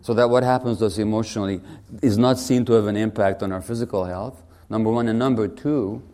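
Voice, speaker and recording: A man in his sixties from Hungary gives a public lecture, his voice low-set and mellow. He talks in a wry, conversational way, often turning to the audience with questions. His delivery is that of a physician speaking plainly to a crowd. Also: fairly even intonation, quick pace.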